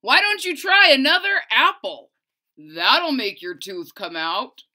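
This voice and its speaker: Woman playing a loud, blustery storybook dad. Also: high voice, sing-song, voice pushed up, full character voice. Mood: emphatic. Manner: emphatic, drawn-out. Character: blustery, confident storybook father. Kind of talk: children's storybook character voice.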